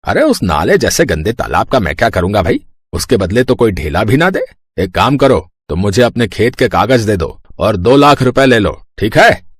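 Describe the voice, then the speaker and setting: A calculating village moneylender, the villain of a storybook, voiced by a man. He speaks in a lowered voice, scornful and condescending as he bargains, with very little room sound. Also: sing-song, normal speed, slightly rough, no echo. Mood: sly, scornful.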